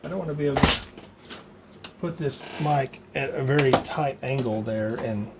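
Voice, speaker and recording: A man talking casually and haltingly, thinking aloud as he works through a hands-on project. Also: medium pitch. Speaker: hobbyist maker demonstrating a DIY project. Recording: loud background noise.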